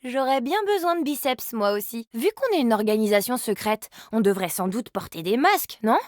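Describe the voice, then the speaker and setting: A woman's voice: a little high, animated, moderate pace, no echo, studio quality, close to an everyday voice. A woman voicing a cheeky young immortal agent in a video game, playful and sarcastic with casual, slangy delivery. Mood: playful, teasing. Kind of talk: video game character voice lines.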